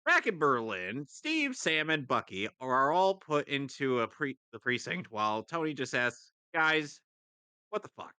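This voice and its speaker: A man's voice: medium pitch, sing-song, a little slow, pushed and bright, smooth, no room sound. A man narrating a comic script in a mock-dramatic way with asides, as a co-reader on a live stream.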